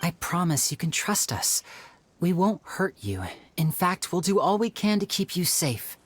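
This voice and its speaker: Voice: mid-range voice, sing-song, measured pace, a little hiss or hum. An actor playing a polite, composed young male magician in a video game, courteous and reassuring as he explains things.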